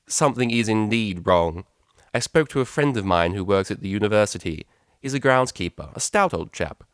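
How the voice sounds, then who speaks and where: A man's voice: on the low side, lively intonation, normal speed, smooth, no room sound, studio quality, lightly acted. A man voicing a haughty, commanding pony mother with a clipped, condescending manner, in a story reading. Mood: stern.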